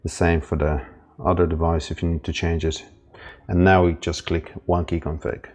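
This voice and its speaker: Very deep-voiced man explaining setup steps. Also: normal speed. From Ireland. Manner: relaxed, step-by-step with fillers. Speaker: installer demonstrating setup. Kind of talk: casual tutorial narration.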